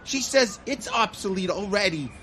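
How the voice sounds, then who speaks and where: A man's voice: high voice, expressive, normal speed, fairly bright tone. Guy playing a bemused hospital guard, folksy, really up and down voice, bit of noise behind, audio drama. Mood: bemused, grumbling. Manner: folksy, colloquial, incredulous. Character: chatty, bemused male hospital security guard, a dad. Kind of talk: humorous audio drama dialogue.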